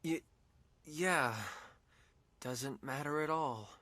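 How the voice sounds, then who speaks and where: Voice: medium pitch, extremely slow, bright, forceful tone. An actor voicing a good-natured, hesitant young man, the easily flustered hero of a video game. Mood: sheepish. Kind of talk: English video game dub acting.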